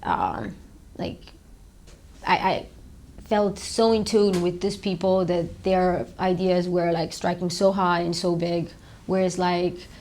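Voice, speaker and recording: A woman in her thirties, originally from Romania, tells her story in a somewhat low voice. She rambles loosely, filling pauses with "um" and "like" as she answers interview questions in an office. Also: fairly even intonation, darkish tone. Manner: rambling, many fillers like "um" and "like". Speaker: startup founder and CEO.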